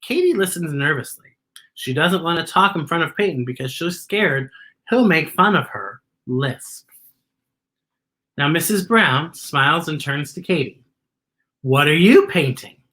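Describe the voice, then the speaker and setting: A woman's voice: low-pitched, animated, unhurried, bright, forceful tone. A woman hosting a children's storytime, reading a picture book aloud and adding explanatory asides.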